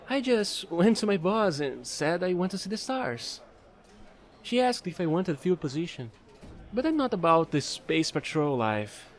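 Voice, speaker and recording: Offbeat fallen angel space trader played by a man for audio roleplay: high, very lively pitch, eager, rambling, playful. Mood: casual storytelling. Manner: rambling, playful, pleading, casual slangy talk. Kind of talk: audio roleplay monologue addressed to the listener.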